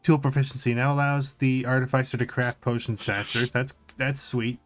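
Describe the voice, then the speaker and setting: Male co-host, average pitch, laid-back chat and read-outs of game rules over faint music. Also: flat delivery, normal speed, a bit bright. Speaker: podcast co-host. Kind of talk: casual discussion and reading of game rules.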